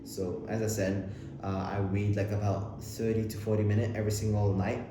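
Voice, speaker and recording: A young man with Arabic as his first language talks casually to camera, stumbling and restarting as he gives advice. His voice sits low. The room rings with heavy echo, and steady background noise hums beneath him. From Lebanon. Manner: fast, repetitive, with many restarts and fillers. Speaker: self-improvement vlogger.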